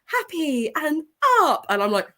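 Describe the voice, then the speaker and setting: A woman's voice: sits high, animated, measured pace, bright, forceful tone. Congested woman chatting on a livestream. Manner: chatty, greets viewers by name, laughs often. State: congested with a sore throat. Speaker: book channel host. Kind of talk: casual livestream chat.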